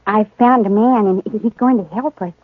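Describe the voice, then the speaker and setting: A shy, earnest frontier girl of about sixteen, voiced by an actress, with a soft country drawl. The sound is old radio: thin and phone-like, dry and close. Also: medium pitch, brisk, dry recording, natural voice. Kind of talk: 1950s radio acting. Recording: narrow-band vintage radio broadcast.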